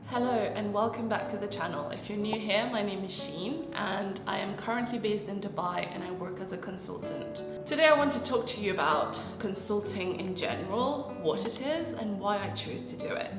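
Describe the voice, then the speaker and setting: A young woman, congested and nasal from a cold, chatting casually to camera as she explains her work point by point. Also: mid-range voice. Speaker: consultant and vlogger. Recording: roomy echo with a long tail and faint music.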